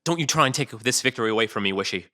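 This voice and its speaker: A man's voice: lowish voice, animated, racing, pushed and bright. An actor playing a brash, petulant, grumbling male police detective in an audio drama table read. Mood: grumbling, defiant.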